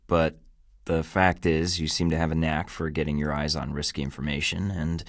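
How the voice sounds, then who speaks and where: A sardonic young spy. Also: male voice, extremely low pitch, little pitch movement, moderate pace, dry recording. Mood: wary. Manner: dry, sarcastic, terse. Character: young male field agent, dry and sardonic. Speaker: game protagonist, spy. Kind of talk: video game voice acting.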